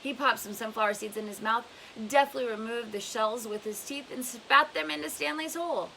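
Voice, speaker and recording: Bright-voiced woman reading a story aloud. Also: fairly high pitch, moderate pace, vocal fry, low-quality recording. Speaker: read-aloud narrator. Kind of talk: storybook narration, read aloud. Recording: faint hiss behind voice.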